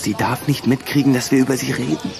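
A man's voice: medium pitch, steady tone, quick pace, vocal fry, noisy background, lightly acted. A male performer playing a cunning, secretive schoolboy in a radio play, talking in a precocious, mysterious manner. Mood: secretive, sly. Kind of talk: German radio play acting.

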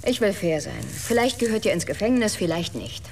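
An actress playing a sceptical investigator in a radio drama, questioning in a dry, pointed way. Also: female voice, mid-range voice, sing-song, normal speed, vocal fry, darkish tone, slight background noise. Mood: dry, confrontational. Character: probing, sceptical female investigator, dryly pointed. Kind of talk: naturalistic radio drama acting.

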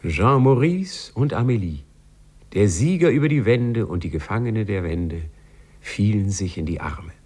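An actor as a wry, knowing storyteller, narrating a 1950s German radio play in a measured way. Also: male voice, lowish voice, animated, darkish tone, breathy edge. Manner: wry, measured, addresses listeners. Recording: 1950s radio recording, faint music behind.

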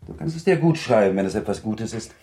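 A gentlemanly middle-aged husband in a radio drama, courteous and gently probing. His voice is lowish and mellow, swinging widely in pitch as he talks. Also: animated, quick pace. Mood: affectionate, teasing. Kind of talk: German radio play acting.

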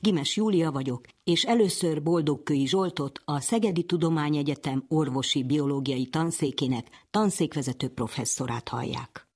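A woman's voice: deep voice, a little mellow, slightly rough. A woman presenting a radio science programme, introducing guests and putting questions to them. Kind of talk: scripted presenting and interview questions.

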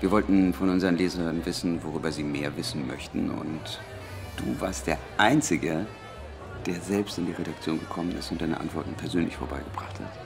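An actor playing a casual magazine editor in a naturalistic radio drama, talking in a laconic, teasing way. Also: male voice, deep voice, brisk, creaky, music in the background. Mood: teasing, relaxed.

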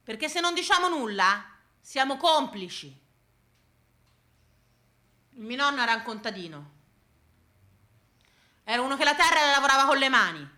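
A woman's voice: sits high, sing-song, normal speed, long pauses, pushed and bright. A woman delivers a theatrical comic monologue in a satirical, emphatic way, stringing words into incantatory, repeated lists. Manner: satirical, exclamatory. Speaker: solo stage actress. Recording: roomy, echoing stage sound.

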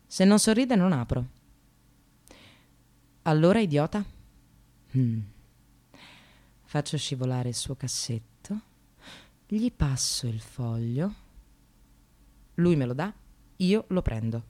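Low-voiced, wildly expressive, fed-up receptionist. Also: female voice, slow pace, pauses often. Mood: contemptuous, deadpan. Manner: sardonic, dramatic, swings from muttering to outbursts. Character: exasperated, bitterly sarcastic precarious receptionist, a working mother. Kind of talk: theatrical radio monologue.

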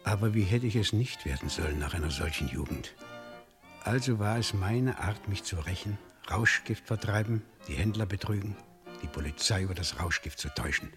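Low voiced bitter gangster confessing, accusing and vengeful, radio play, music behind him. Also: male voice, expressive, moderate pace. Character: bitter, vengeful male ex-criminal making a confession. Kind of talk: dramatic monologue in radio crime drama. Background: music under the voice.

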